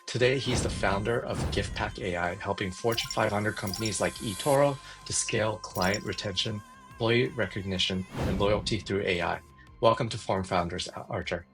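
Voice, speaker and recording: A man's voice: lowish voice, normal speed, music in the background, low-quality recording. A man hosting a podcast interview, introducing a guest and chatting in a friendly way with many fillers. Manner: friendly, says "like" often. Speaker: podcast host.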